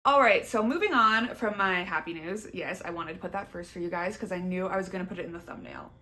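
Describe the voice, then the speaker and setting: A woman's voice: on the low side, fast talker, bright, forceful tone, slight background noise. A young woman with a Canadian accent giving a casual, candid personal update to her viewers, chatty and emphatic. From Southern Ontario, Canada. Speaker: YouTuber.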